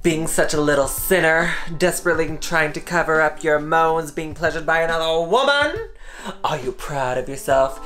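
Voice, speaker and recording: A bold, rebellious young woman murmurs low and teasing. She is a woman reading a story aloud. Her voice sits low, and a guitar plays clearly behind her. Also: animated, moderate pace, a bit bright.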